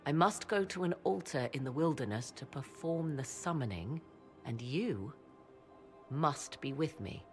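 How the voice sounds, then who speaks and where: Proud game sorceress, low pitch, sweeping intonation, slow archaic delivery, formal, mysterious. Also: female voice, animated, darkish tone, soft background music. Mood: measured, urging. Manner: archaic, measured, theatrical phrasing. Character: proud, learned witch woman, formal and mysterious. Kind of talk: video game cutscene acting.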